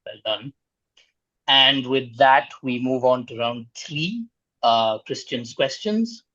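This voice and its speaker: A man hosting a quiz, reading out questions in a formal, measured way. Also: mid-range voice, pushed and bright, dry recording, lo-fi sound.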